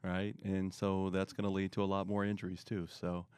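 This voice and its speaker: A middle-aged man answering interview questions in a relaxed, rambling way, often pausing with fillers. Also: low-pitched, clean tone, no room sound, studio quality. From born in Korea, raised in the US. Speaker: guest, marketing expert.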